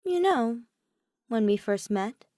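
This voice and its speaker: Woman voicing a high-pitched, theatrical mischievous teenage boy. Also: expressive, slow pace, dark tone, no echo, clean studio sound. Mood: playful confession. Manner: theatrical, teasing, boastful.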